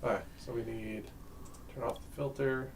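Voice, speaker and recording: A man's voice: medium pitch, steady tone, moderate pace, vocal fry, slight background noise. A man co-hosting a stream, laid-back and giving short replies while building a card deck. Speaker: stream co-host. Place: game store.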